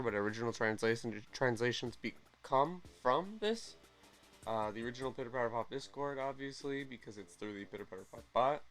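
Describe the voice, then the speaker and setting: A young man hosting a gaming channel, chatting casually and excitedly through game news, often correcting himself mid-sentence. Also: mid-range voice. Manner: rambling, self-correcting, excitable.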